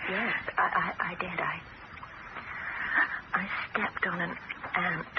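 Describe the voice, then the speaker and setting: A frightened young woman who won't leave her house, her voice breathy and haunted, slipping between dreamy calm and dread. It sounds like a muffled old radio broadcast with heavy hiss. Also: on the low side, takes it slowly. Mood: anxious. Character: fragile, frightened young woman obsessed with death. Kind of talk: 1970s radio drama acting.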